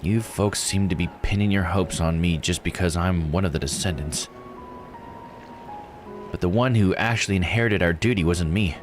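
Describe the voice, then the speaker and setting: A man voicing a weary, regretful woman diver in a visual novel, measured and confiding. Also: sits low, natural voice. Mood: regretful, resigned. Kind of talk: reading visual novel dialogue aloud. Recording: music under the voice. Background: game music.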